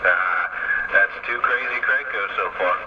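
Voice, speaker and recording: A man's voice: fairly low pitch, unhurried. A man chatting casually on CB radio, giving folksy signal reports and calling out to other operators. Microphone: CB radio mic. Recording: noisy, distant, lo-fi CB radio reception.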